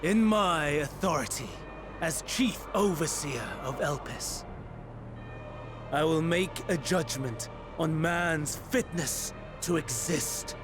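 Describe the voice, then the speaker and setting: A fairly high male voice for a grave, troubled overseer in a fantasy game. He speaks slowly and formally, with deliberate pauses and cold resolve, as music plays behind him. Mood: solemn, resolute. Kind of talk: dramatic video game acting.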